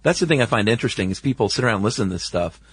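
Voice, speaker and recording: A man with a fairly low voice chats as a podcast co-host. His delivery is casual and laid-back with some wryness. The recording is dry, with no room sound. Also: expressive, racing, husky, no echo. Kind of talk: casual chat.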